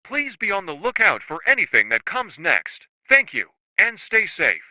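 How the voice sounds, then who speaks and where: A man's voice: sits high, wildly swooping pitch, normal speed, sharp tone, no echo, exaggerated voice. A man playing an earnest young hero in an amateur web drama. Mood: grave, urgent. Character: earnest young male bomber hero leaving grave messages. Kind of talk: amateur web series voice acting.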